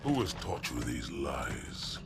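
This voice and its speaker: Very deep, gravelly alien warrior. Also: male voice, sing-song, full character voice. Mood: grim, resolute. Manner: terse, measured, grave. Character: disgraced alien warrior, grave, stoic and resolute. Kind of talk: dramatic video game cutscene acting. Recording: loud music over the voice.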